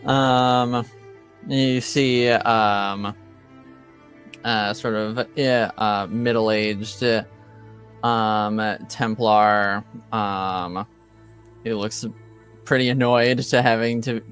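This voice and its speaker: A man narrating an improvised tabletop game as game master, halting and hesitant. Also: fairly low pitch, steady tone, fairly bright tone, faint music behind. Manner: halting, hesitant, with long gaps.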